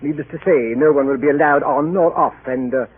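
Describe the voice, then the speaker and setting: Fussy, high-voiced consular official. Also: male voice, a little fast, husky. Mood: officious. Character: fussy, evasive British consul, coolly bureaucratic. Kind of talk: 1940s radio acting. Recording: tinny, noisy old radio broadcast.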